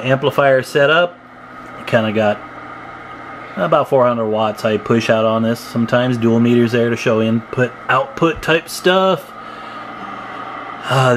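A man giving a casual, informal hands-on demonstration as a hobbyist, unhurried, recorded on a handheld camera in a room at home. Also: medium pitch, a little hiss or hum. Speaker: CB radio hobbyist showing his equipment. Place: indoor room at home with radio gear. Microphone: handheld camera microphone, speaker off screen.

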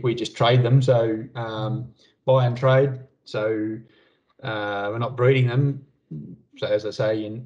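A man's mid-pitched voice comes through slightly muffled video-call audio. He talks casually and hesitantly as a farmer on a panel, working out his answers as he goes. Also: darkish tone. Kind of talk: casual question-and-answer talk.

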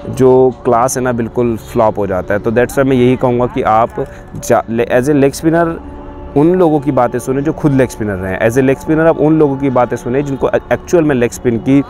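A man's voice: medium pitch, normal speed, darkish tone, soft background music. A man in his thirties, a cricket coach, explaining bowling grips step by step outdoors on a lapel mic. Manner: explains step by step while showing a ball grip. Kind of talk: spoken coaching tutorial. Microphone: lapel mic on camera facing him.

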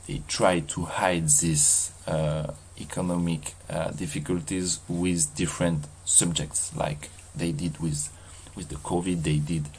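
A man with a heavy French accent talks to his camera while walking outdoors. His voice sits very low and he hesitates often, filling pauses and repeating words. A faint hum of outdoor ambience runs underneath. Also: darkish tone. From France. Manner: halting, many fillers and restarts. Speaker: vlogger. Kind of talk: casual walking vlog. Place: outdoors in a seaside mountain town.

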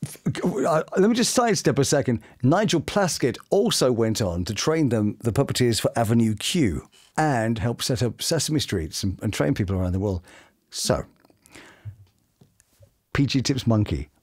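A man's voice: medium pitch, moderate pace. A middle-aged British man telling anecdotes expressively as a voice actor in a casual interview. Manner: expressive, dramatic storytelling. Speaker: voice actor guest. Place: small home studio, indoors.

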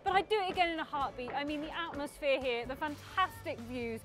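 A woman's voice: sits high, animated, fairly quick, over music. A young British woman in her twenties chatting enthusiastically after a race, recorded on a lapel mic on an outdoor mountain terrace. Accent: British English. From Britain. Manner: bubbly and enthusiastic. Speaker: presenter and runner. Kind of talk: post-race interview chat.

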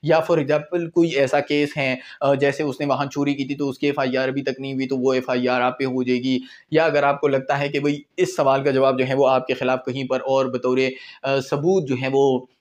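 A man's voice: medium pitch, little pitch movement, moderate pace, hardly any pauses. A man in his twenties with a Pakistani accent, giving an informal, conversational law lecture sprinkled with English terms. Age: 20s. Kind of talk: informal lecture with acted-out examples. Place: small indoor room in front of a whiteboard.

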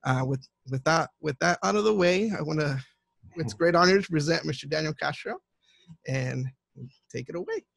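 A man's voice: fairly high pitch, normal speed, vocal fry, a bit bright, no echo. A young man hosting a webinar over a headset, reading out a guest's bio with many fillers. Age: young adult. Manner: reads a prepared bio with fillers. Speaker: student host. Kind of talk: webinar introduction.

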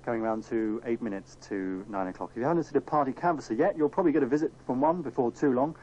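Fast-talking male presenter, muffled tape. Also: mid-range voice, warm tone, husky. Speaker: radio presenter. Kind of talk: live radio link. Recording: muffled tape with faint hiss and hum.

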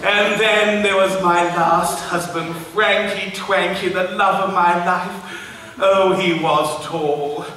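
A man's voice: high-pitched, steady tone, takes it slowly, distant mic, crowd noise. A camp old pantomime washerwoman. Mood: camp, bawdy. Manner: camp, bawdy, teasing the audience, bursts into song. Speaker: pantomime dame. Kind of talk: live pantomime stage comedy. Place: large theatre stage. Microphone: far stage recording. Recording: echoey hall recorded from afar.

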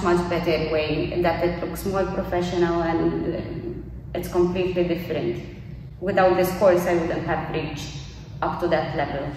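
A woman's voice: fairly low pitch, darkish tone, a little hiss or hum. A woman in her thirties from Bulgaria with a Bulgarian accent, a non-native English speaker giving an earnest interview testimonial as a course graduate. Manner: earnest, with frequent fillers and repetitions. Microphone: camera mic from a distance. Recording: echoey room, voice from a distance.

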